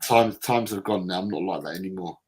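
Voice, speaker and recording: A man's voice: sits low, fairly quick, darkish tone. A middle-aged man with a London accent, chatting casually in a blokey way and repeating himself. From South London, England. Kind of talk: casual chat.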